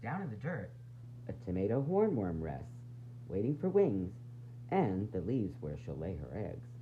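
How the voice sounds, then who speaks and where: A man reading a children's picture book aloud in an expressive, dramatic, sing-song way, with varied emphasis. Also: lowish voice, measured pace. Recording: dull lo-fi sound, faint noise and hum.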